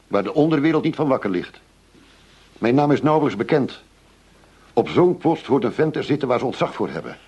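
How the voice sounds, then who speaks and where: An actor playing an earnest, driven middle-aged police chief inspector in a radio drama, speaking formally, insistently and with measured phrasing. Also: male voice, medium pitch, big pitch swings, darkish tone, breathy edge, highs cut off. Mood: dissatisfied, earnest.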